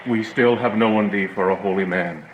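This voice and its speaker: Man as stern prince, lowish voice, declamatory, authoritative, formal, echoey sound with slight noise. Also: normal speed, phone-quality sound, natural voice. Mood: grave. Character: stern commanding prince judging feuding families. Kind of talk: Shakespearean audio drama acting. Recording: echoey room, audible background hiss.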